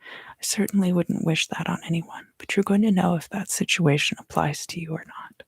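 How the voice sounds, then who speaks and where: A woman giving an ASMR tarot reading in a soft, unhurried whisper. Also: lowish voice, steady tone, creaky, breathy edge, no echo. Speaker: tarot reader and ASMR host.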